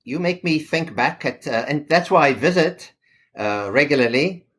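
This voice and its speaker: Middle-aged man, measured and hesitant, interview guest. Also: fairly high pitch, expressive, a bit bright, husky. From South Africa. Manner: thoughtful, hesitant with fillers. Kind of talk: podcast interview.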